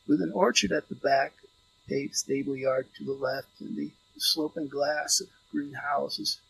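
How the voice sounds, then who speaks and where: A man reading literary fiction as an audiobook narrator, delivering it in measured phrases. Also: a little high, creaky, a bit bright, rough recording.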